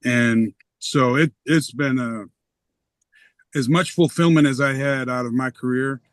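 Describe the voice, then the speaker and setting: A middle-aged man, a retired umpire and pastor, talking softly and earnestly in a reflective conversation with many pauses and ums. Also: medium pitch, no echo. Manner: soft-spoken and earnest, with frequent ums.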